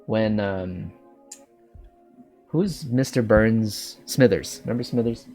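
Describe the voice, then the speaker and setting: Low-voiced Canadian man hosting a podcast. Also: takes it slowly, darkish tone, soft background music. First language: English. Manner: rambling, restarts often. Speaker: podcast host. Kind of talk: casual chat.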